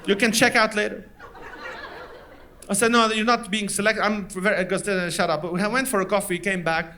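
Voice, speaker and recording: A man from Lebanon with a Lebanese accent, doing animated stand-up storytelling on stage and acting out the people in his story. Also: sits high, a little fast, a little hiss or hum. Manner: animated, acts out voices of others in anecdotes. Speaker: stand-up comedian. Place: stage before a live audience.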